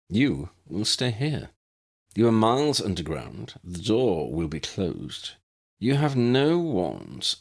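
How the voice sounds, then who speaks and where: A man's voice: on the low side, creaky, pitched above the performer's own voice, heavily acted. Man voicing a cold, sneering aristocratic villain. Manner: disdainful, smug, drawn-out delivery.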